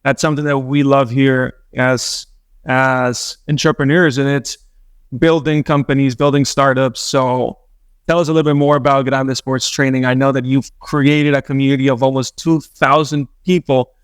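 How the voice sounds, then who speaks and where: A man's voice: medium pitch, flat delivery, normal speed, clean tone, no echo, clean studio sound. A man hosting an in-person podcast interview, effusive and enthusiastic, slipping in the odd Spanish phrase, speaking into a handheld mic. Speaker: podcast host.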